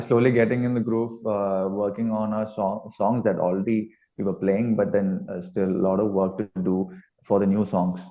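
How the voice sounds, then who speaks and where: A man's voice: lowish voice, mellow. A man with an Indian accent speaking casually as a musician being interviewed. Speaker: band musician, interview guest. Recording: slightly dull, lo-fi call audio.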